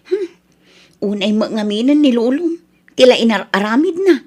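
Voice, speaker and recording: Woman acting a high-voiced, hesitant Ilocana mother. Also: lively intonation, normal speed, darkish tone. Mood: worried. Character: hesitant, worried village mother. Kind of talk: Ilocano radio comedy drama acting.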